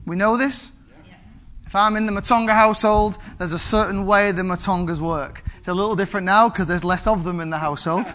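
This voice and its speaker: A man, preaching an animated sermon to his congregation and calling out for amens. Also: high-pitched, a little fast. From England. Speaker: pastor.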